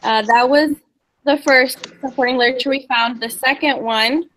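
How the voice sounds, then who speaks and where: A woman's voice: sits high, fairly even intonation, moderate pace, a bit bright, poor audio. A young woman from Indiana giving a class presentation, summarizing research articles hesitantly from her notes. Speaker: student presenter.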